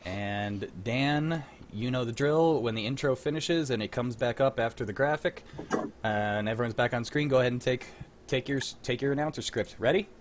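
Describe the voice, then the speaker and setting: A man's voice: mid-range voice, normal speed, a little hiss or hum. A man hosting a livestreamed script reading with casual, unscripted chat.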